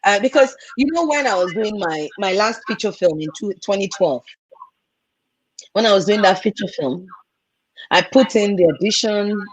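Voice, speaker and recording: A woman with a Nigerian accent, a film and TV producer, telling animated stories as a guest on a video call. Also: medium pitch, normal speed, breathy edge, no echo, low-quality recording. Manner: animated, repeats words for emphasis. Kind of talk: candid storytelling in a conversation.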